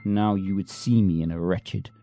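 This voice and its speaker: A man playing a bitter, self-loathing poor man who swings from shouted rants to low, brooding talk. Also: moderate pace, faint music behind. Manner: melodramatic, lurching from shouted rants to low narration. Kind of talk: comic amateur drama reading.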